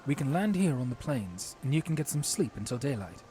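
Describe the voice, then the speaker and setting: A man playing a courteous, watchful young knight commander in an audio drama, speaking formally and earnestly in measured phrases. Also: medium pitch, very animated, dark tone, soft background music, lightly acted. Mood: cautioning.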